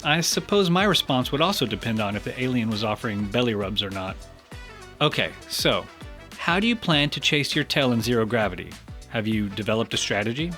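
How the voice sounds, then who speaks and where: A man hosts a podcast in a playful interview style. He keeps a tongue-in-cheek, mock-earnest tone while his pitch moves in a lively way. Music plays quietly underneath. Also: a little fast. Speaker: podcast host.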